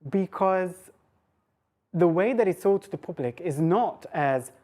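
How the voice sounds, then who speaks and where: A woman with a low voice delivers an academic talk in a conversational register. She thinks aloud as she goes, hesitating, interrupting herself and posing rhetorical questions. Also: expressive, a little slow, dark tone. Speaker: lecturer giving a talk. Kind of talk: academic lecture, informal and conversational.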